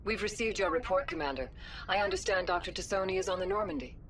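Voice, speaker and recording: An actress playing a composed alien councilor in a game, formal and diplomatic. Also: female voice, medium pitch, fast, fairly bright tone, slight background noise, close to an everyday voice.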